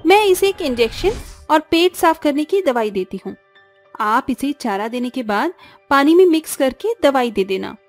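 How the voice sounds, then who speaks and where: A woman's voice: sits high, lively intonation, moderate pace, faint music behind. A woman voicing a caring, principled young village animal doctor in a cartoon, earnest and firm. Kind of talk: cartoon story voice acting.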